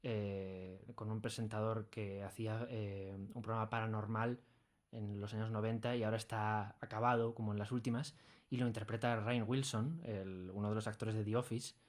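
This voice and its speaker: Male guest, accent of Spain, lowish voice, informal and keen, casual interview chat. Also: flat delivery, normal speed. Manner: informal, enthusiastic. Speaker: audio fiction creator, interview guest.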